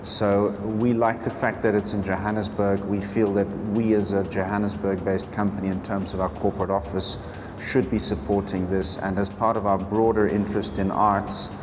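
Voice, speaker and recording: Measured, lowish South African man, reverberant. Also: flat delivery, background noise. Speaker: corporate sponsor representative. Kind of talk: interview.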